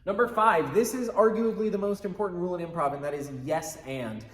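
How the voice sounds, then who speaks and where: High-voiced male improv teacher, echoey room. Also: fairly quick, fairly bright tone. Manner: informal, emphatic, repeats key phrases for stress. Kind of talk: explanatory lesson with quick acted examples.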